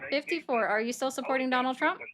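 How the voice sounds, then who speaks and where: A woman hosting a political call-in livestream, brisk and sardonic, often cutting callers off. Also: high-pitched, a crowd in the background. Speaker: livestream host taking calls.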